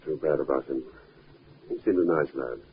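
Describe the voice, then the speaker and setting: An actor playing a calm, shrewd police inspector in a 1950s radio drama, measured, probing and understated. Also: male voice, extremely low pitch. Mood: calm, polite. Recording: narrow-band, hissy 1950s radio recording.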